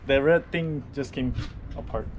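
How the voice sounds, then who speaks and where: A man's voice: medium pitch, unhurried, background noise. A young man on a sailboat vlog, talking casually and giving short, dry answers. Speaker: sailing vlogger. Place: on a boat and a harbor, outdoors.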